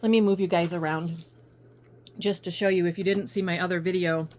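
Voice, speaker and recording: A middle-aged woman from Virginia chatting casually to viewers in a rambling, self-correcting way while her voice goes hoarse. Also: on the low side, fairly even intonation, a little fast, darkish tone, slight background noise. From coastal Virginia, US. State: voice going hoarse. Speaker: reseller vlogger. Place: home room with piles of stuff. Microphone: phone on a ring light.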